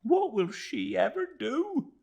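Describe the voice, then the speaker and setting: Man voicing a high-pitched, tearful young wife. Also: very animated, creaky, darkish tone, full character voice. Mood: sobbing, distressed. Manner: emotional, drawn-out exclaiming. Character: tearful, indignant young married townswoman, soft-hearted under sternness. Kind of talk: classic novel audiobook character.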